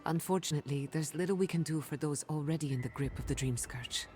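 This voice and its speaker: Low-voiced, calm female envoy played by an actress. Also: fast, faint music behind, lightly acted. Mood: calm, firm. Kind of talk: video game cutscene dialogue.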